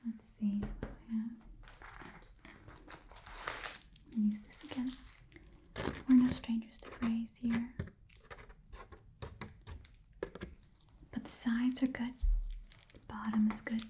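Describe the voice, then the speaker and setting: A woman voicing a shrewd, chatty goblin shopkeeper in a breathy whisper, rambling and muttering to herself as she haggles in an ASMR roleplay. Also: fairly high pitch, little pitch movement, a little slow, pauses often, dark tone, a little hiss or hum, natural voice. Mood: appraising, skeptical. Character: shrewd, chatty goblin pawn shop keeper.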